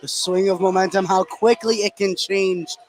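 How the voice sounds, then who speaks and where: A man's voice: sits high, steady tone, normal speed. A man giving live color commentary and analysis at a basketball game. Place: indoor basketball gym. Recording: noisy live gym broadcast.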